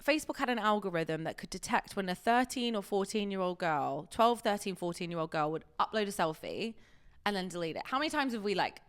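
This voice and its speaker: A woman's voice: medium pitch, animated, brisk, clean tone. A woman co-hosting a casual podcast chat, sniffly from a cold, swearing freely and laughing as she talks. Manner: chatty, swears freely, laughs while talking. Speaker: podcast co-host.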